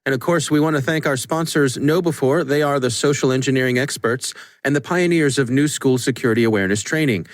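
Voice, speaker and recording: A man's voice: medium pitch, brisk, hardly any pauses. A man hosting a podcast, moving between conversational remarks and scripted announcer-like reads. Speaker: podcast host.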